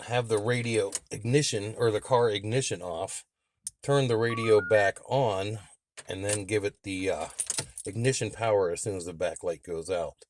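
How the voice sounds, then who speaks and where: A man's voice: lowish voice, moderate pace, slight background noise. A man reviewing and testing a radio in a plain-spoken, explanatory way, as a hands-on demonstration.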